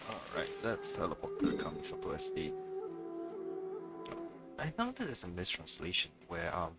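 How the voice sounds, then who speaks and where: Male game streamer: deep, lively intonation, casual live commentary over clear game music. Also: rough recording.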